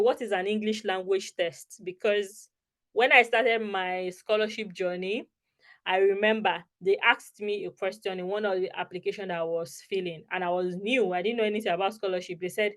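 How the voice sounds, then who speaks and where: A young woman speaks over a video call, leading an online lesson with slides. Her voice sits at a middle pitch. She talks in a chatty way and checks in with her listeners often. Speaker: scholarship advice presenter.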